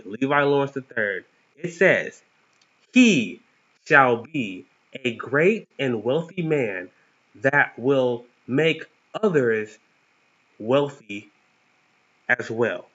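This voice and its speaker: A man's voice: medium pitch, big pitch swings, slow pace, long pauses, a bit bright. A young man with a lisp giving a playful, earnest motivational talk to viewers as a vlog host, with cocky bursts of emphasis. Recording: dull lo-fi sound.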